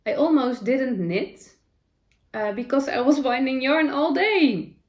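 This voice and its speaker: A woman's voice: high-pitched, takes it slowly. A middle-aged Dutch woman with a Dutch accent, chatting casually about her day as a knitting vlogger at home, rambling with fillers and self-corrections. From Netherlands. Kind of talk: casual chat. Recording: roomy echo.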